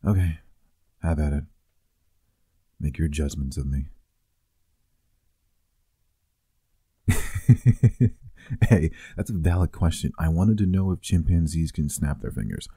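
A man playing a playful, affectionate boyfriend in an intimate ASMR roleplay, teasing softly and conversationally, close to the microphone. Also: a bass voice, a little slow, pauses often, slightly rough, no room sound, full character voice. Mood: teasing, defensive.

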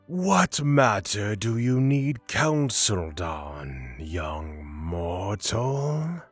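A man playing an ominous god of fears in a fantasy audio drama, with drawn-out, ponderous delivery. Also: fairly low pitch, very animated, slightly rough, faint music behind, exaggerated voice. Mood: ominous, measured. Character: looming ancient god of fears, slow and ominous.

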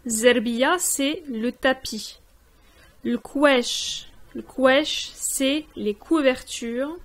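A woman giving a language lesson in a voice-over, saying each word slowly, repeating it and translating it. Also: on the high side. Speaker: language teacher. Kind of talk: slow spoken language lesson. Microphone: voice-over on text slides.

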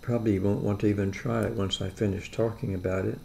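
A man's voice: on the low side, flat delivery, creaky, warm tone, a little hiss or hum. A man in his seventies chatting wryly while eating lunch at his dining table, recorded by a camera on the table. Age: 70s. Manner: chatty, wry, unhurried. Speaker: vlogger. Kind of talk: casual talk while eating. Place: dining room at home.

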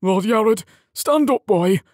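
A man voicing a stern, frail knight trainer in an audiobook, scolding with a strident, rough voice. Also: high-pitched, lively intonation, takes it slowly, a little mellow, no echo, studio quality, voice pushed up, heavily acted. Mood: barking.

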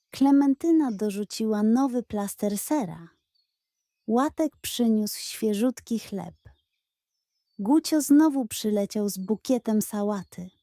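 A woman narrating a children's bedtime story in an expressive, scripted storytelling style. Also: mid-range voice, no echo, clean studio sound.

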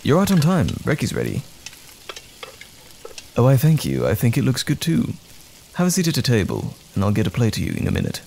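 Man acting as caring boyfriend, low creaky voice, highly animated intonation, gentle, affectionate, intimate audio roleplay. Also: brisk, long pauses, a little hiss or hum. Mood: cheerful, teasing.

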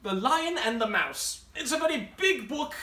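A man's voice: extremely high pitch, expressive, normal speed, bright, forceful tone, full character voice. A middle-aged man playing a fussy, theatrical host of a children's show, with a mock-genteel, dramatic manner. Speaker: storytime host. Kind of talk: comic presenting to children.

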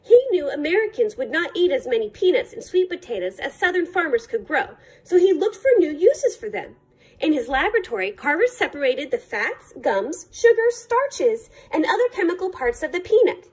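A woman reading a children's picture book aloud as a librarian, in careful, deliberate short phrases. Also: high voice, big pitch swings, darkish tone.

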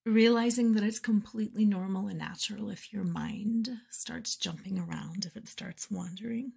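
A woman leading a guided meditation in a soft, breathy, soothing voice with measured phrasing and pauses. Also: mid-range voice. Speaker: meditation guide and podcast host.

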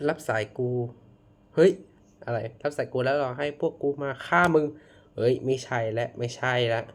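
A man giving casual reaction commentary, halting and often repeating his words. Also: medium pitch. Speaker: reaction video host. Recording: loud music behind the voice.

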